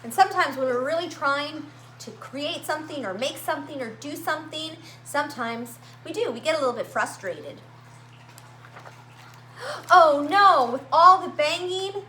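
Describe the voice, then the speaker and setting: A woman reading a picture book aloud to young children, with expressive, dramatic emphasis and drawn-out words. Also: high voice. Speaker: children's story-time reader at a library. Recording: roomy echo, faint background noise.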